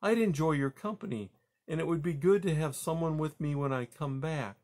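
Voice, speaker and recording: A man voicing a gentle, anxious mother mouse in a storybook, speaking politely and earnestly with some hesitation. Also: fairly high pitch, moderate pace, voice pushed up, natural voice. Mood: hopeful, coaxing.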